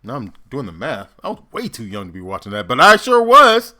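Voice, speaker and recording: A man hosting a reaction video, making casual, animated asides while he watches. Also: mid-range voice, brisk.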